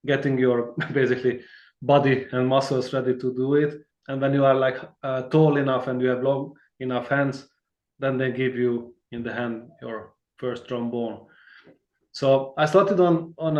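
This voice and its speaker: Man, mid pitch, easygoing and rambling guest, echoey room. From Hungary. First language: Hungarian. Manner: rambling and friendly, many fillers and restarts, laughs easily. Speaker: guitarist, interview guest. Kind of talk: casual interview chat.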